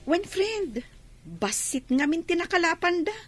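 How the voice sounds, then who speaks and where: Very high, friendly village girl played by a woman. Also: big pitch swings, unhurried, fairly bright tone, full character voice. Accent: Ilocano. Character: friendly young female fish vendor, student. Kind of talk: Ilocano radio drama acting.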